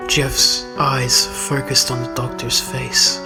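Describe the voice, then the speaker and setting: Man, horror audio drama narrator, mid-pitched, dramatic scripted delivery, music behind. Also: flat delivery, slow pace.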